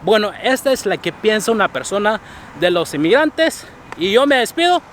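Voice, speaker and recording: Guy vlogging on the street, flips spanish and english, kinda high voice, traffic noise. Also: sing-song, normal speed, fairly bright tone. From abroad, came to the US at fifteen. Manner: switches between Spanish and English, laughs often. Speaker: vlog host. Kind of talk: casual street interview chat.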